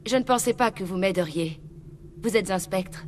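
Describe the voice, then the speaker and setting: An actress playing a determined undercover internal affairs agent in a video game, businesslike and wry. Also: female voice, medium pitch, moderate pace, a little hiss or hum, lightly acted. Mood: wry, weary. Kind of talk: video game dub dialogue.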